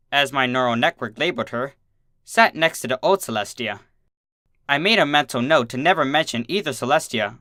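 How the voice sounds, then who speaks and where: A woman reading fan fiction aloud as expressive scripted story narration. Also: a bass voice, no echo, clean studio sound. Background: soft guitar music.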